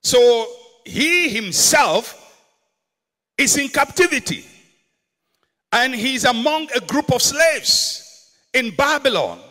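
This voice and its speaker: A Ghanaian man, an older pastor, preaching emphatically from a church auditorium stage into a handheld microphone, slowing to stress key words. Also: extremely high pitch, sing-song, sharp tone. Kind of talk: sermon. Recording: long auditorium reverb.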